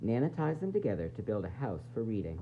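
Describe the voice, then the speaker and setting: A man reading a children's picture book aloud in an expressive, dramatic, sing-song way, with varied emphasis. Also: fairly low pitch, quick pace. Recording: dull lo-fi sound, faint noise and hum.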